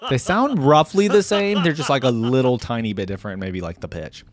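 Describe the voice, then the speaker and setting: A man's voice: mid-range voice, expressive, a little fast, music in the background. A man hosting a reaction video, giving casual, slangy, off-the-cuff commentary. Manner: informal, slangy.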